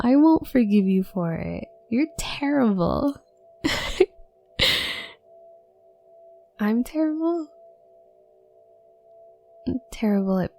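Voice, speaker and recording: A woman plays a flustered young witch student in an audio roleplay. Her voice is soft and mellow with a creaky edge, often sinking to a breathy near-whisper. She stammers nervously and haltingly, over faint music. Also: mid-range voice, full character voice. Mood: mock indignant, sheepish. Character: shy, awkward, flustered young witch classmate, female college student. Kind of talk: roleplay audio drama addressed to the listener.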